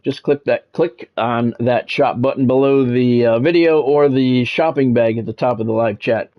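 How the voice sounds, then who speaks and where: A man's voice: mid-range voice, fairly even intonation, normal speed, highs cut off. A middle-aged man doing live sports game commentary like a radio sportscaster, chatting with viewers and repeating phrases for emphasis. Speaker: game streamer and play-by-play announcer.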